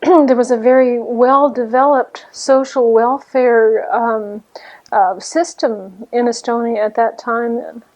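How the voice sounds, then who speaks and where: A woman conducting an oral history interview at someone's home, asking polite, gently probing questions. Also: a little high, fairly even intonation, takes it slowly, rough recording.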